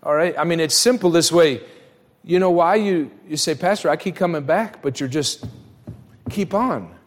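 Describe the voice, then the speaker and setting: A man's voice: a little high, normal speed. A man preaching a church sermon as pastor, emphatic and direct, putting rhetorical questions straight to his listeners. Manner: acts out imagined dialogue. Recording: long hall reverb.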